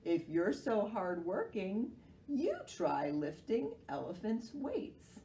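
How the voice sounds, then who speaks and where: A woman voicing a lazy male sloth in a children's storybook, drawling calmly and without hurry. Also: mid-range voice, a little hiss or hum. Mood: drowsy retort. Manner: slow, drawling, unhurried. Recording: roomy echo, far from the mic.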